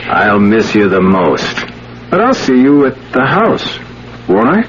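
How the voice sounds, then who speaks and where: An actor playing a charming, easygoing film star with a familiar, teasing manner in a 1970s radio drama. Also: male voice, medium pitch, wildly swooping pitch, a little slow. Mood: fond, teasing. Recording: muffled old radio broadcast, faint noise.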